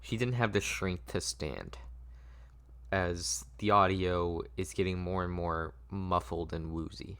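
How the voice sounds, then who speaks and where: Low-pitched, slow, halting male narrator. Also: steady tone, fairly bright tone, clear, resonant tone. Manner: halting, stumbling over the script. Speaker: narrator at a script table read. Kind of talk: informal scripted story narration.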